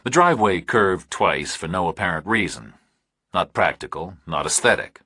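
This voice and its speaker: A man reading a scripted thriller audiobook with measured, clipped, dramatic phrasing. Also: low-pitched, animated, bright, forceful tone, breathy edge, dry recording, clean studio sound. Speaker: audiobook narrator.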